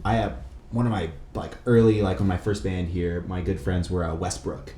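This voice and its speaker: A man hosting a podcast, chatting casually in a halting, slangy way. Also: low voice, steady tone, warm tone. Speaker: podcast host. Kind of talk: casual chat. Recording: roomy, echoey room with noise.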